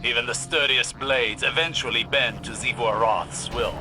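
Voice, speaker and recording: Music plays clearly behind a man acting a vigilant warrior scholar in a video game. His mid-range voice is solemn and formal, with a dramatic weight. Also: mid-range voice, fairly even intonation, normal speed, close to an everyday voice. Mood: grave. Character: grave, vigilant male scholar-warrior mentor.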